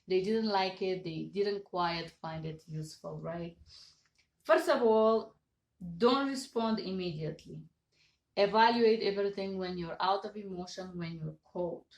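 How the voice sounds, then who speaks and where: Bulgarian-accented woman in her thirties, mid-pitched, earnest webcam advice talk, roomy echo. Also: measured pace. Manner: earnest, emphatic, gestures with hands, stresses numbered points. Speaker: interpreter giving business advice. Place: home office with certificates on wall. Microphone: webcam at desk. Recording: echoey room.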